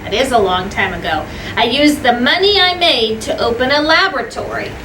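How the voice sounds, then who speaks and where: A woman's voice: high-pitched, animated, fairly quick. A woman teacher reading a story aloud to children, stopping for simple explanations, repeating points and putting questions to the listeners. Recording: echoey room, distant mic, clear background noise.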